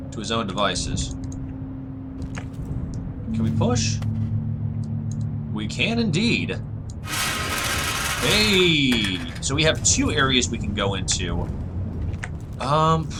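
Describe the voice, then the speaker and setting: A man with a husky, tired voice, musing aloud in relaxed let's-play commentary as a gaming channel host. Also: mid-range voice, very noisy.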